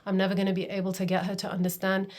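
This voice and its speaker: A woman's voice: mid-range voice, monotone, racing, a little mellow. Earnest woman vlogging to camera. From Pakistan. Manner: earnest, confiding, often says "literally". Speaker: homeschooling and wellness coach. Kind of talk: personal talking-to-camera vlog.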